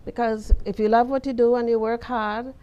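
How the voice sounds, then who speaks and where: A woman's voice: fairly high pitch, fairly even intonation, moderate pace, muffled recording. An older woman from the Bahamas with a Bahamian accent, a guest in an interview giving firm, preacherly advice. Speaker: interview guest, retired teacher and pastor's wife. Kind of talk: conversational interview.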